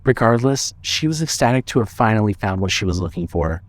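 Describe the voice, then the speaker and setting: A man narrating a scripted suspense story in a measured, dramatic storytelling manner. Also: lowish voice, lively intonation.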